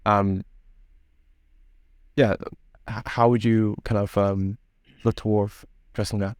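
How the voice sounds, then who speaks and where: Low, even-voiced male podcast host. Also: takes it slowly, clean tone, no echo, studio quality. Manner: tentative, roundabout phrasing. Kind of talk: conversational interview.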